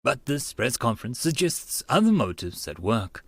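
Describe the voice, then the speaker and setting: A man's voice: mid-range voice, very animated, normal speed, husky, no room sound, clean studio sound. A man narrating a visual novel aloud as a channel host, slipping into casual asides.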